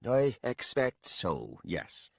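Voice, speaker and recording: A man voicing an old, bent castellan who speaks low, steady and patient. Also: drawn-out words, vocal fry, slightly rough, no room sound, clean studio sound, heavily acted. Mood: dry.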